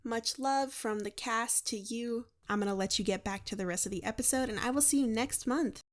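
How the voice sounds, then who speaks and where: Chatty, higher-voiced woman podcast host. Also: fairly quick. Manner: chatty, informal, expressive. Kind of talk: casual podcast announcements.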